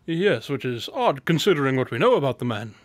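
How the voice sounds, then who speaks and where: An actor playing a cerebral, self-assured detective in a radio drama, speaking in a precise, pondering, condescending way. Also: male voice, mid-range voice, very animated, brisk, no room sound, clean studio sound, natural voice. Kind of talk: scripted radio drama dialogue.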